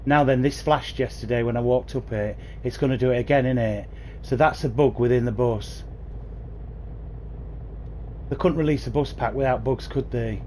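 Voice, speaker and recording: A man's voice: medium pitch, moderate pace, darkish tone, slight background noise. A man chatting enthusiastically to viewers by name as he streams a bus game, speaking into a headset mic. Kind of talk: casual livestream commentary. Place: at home playing on a console. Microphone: new gaming headset.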